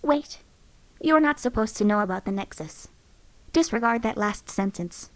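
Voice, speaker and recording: A woman's voice: fairly high pitch, expressive, normal speed, no room sound, natural voice. A woman voicing a female game companion who is a walking weapons platform, reciting data in a precise, matter-of-fact way. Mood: factual. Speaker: voiced companion character in a game mod.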